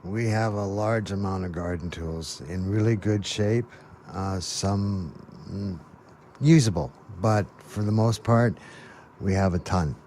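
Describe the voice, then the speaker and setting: Radio guest guy, low mellow voice, slow short answers, bit of background noise. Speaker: thrift tool store manager, studio guest. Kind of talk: casual radio interview.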